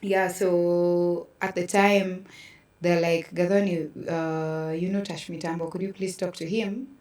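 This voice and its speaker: Low-voiced Kenyan woman in a roomy space. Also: flat delivery, measured pace, darkish tone. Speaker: interview guest, theatre practitioner. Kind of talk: casual conversational storytelling. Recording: roomy echo, distant from mic.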